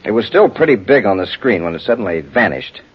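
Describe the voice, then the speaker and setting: An actor playing a troubled, respectful space patrol lieutenant, formal and hesitant, in a 1950s radio drama. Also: male voice, on the low side, very animated, fast talker, husky. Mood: troubled, puzzled. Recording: dull old radio recording.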